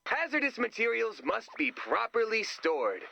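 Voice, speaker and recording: A man voicing an excitable, naive, nerdy sidekick in a video game, earnest, chatty and goofy. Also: very high voice, a little slow, vocal fry, a little hiss or hum, exaggerated voice. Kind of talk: video game character voice lines.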